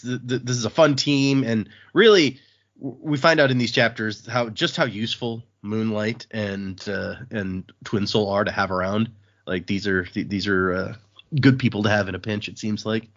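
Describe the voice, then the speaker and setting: A man's voice: medium pitch, fairly bright tone, muffled recording. A man hosting a podcast, chatting casually through a discussion of a book. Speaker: podcast host.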